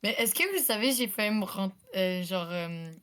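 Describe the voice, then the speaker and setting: A woman with a Québécois accent chatting casually with friends in a voice chat, pausing often with fillers. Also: mid-range voice, lively intonation, a bit bright, clean tone. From Quebec. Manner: hesitant, lots of fillers. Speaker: gamer in group voice chat.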